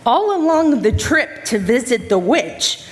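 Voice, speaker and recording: Slow, high-voiced woman narrator. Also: big pitch swings. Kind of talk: scripted storybook narration for a stage play. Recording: reverberant hall.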